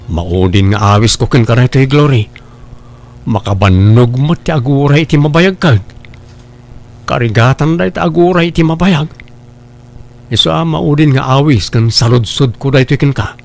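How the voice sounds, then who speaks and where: A man's voice: medium pitch, moderate pace, creaky, pauses often, a little mellow, close to an everyday voice. A man with an Ilocano accent playing an impatient, pushy, complaining suitor in a comedy drama. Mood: exasperated. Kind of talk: comedy radio-style drama acting.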